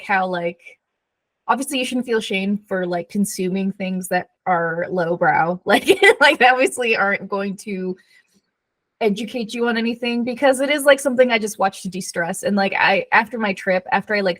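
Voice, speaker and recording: A woman's voice: mid-range voice, no echo. A woman chatting informally as a podcast co-host, using "like" often in a conversational way. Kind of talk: casual chat about books and TV.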